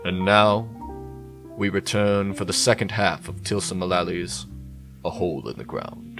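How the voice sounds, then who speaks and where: A man presenting a radio show with measured, formal scripted narration in documentary style. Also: deep voice, gravelly. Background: guitar music.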